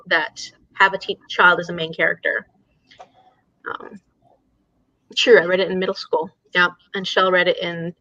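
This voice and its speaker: A woman with a bright, forward voice hosts a casual book discussion. She talks in a conversational, wandering way. The sound is very dry and a little lo-fi. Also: mid-range voice, no room sound. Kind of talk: casual chat.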